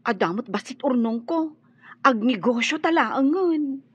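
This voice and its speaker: Plaintive young servant woman, Ilocano accent, high-pitched, unhurried, radio drama, lo-fi. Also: lively intonation, slightly rough, no room sound, heavily acted. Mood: earnest, persuasive. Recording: dull, dry lo-fi studio recording.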